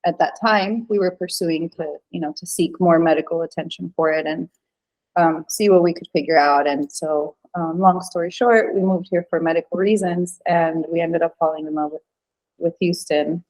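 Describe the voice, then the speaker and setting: A woman's voice: on the low side, steady tone, normal speed, a little mellow, poor audio. A woman in her thirties from Venezuela, chatting casually as a podcast guest with many fillers and restarts. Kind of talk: casual interview chat.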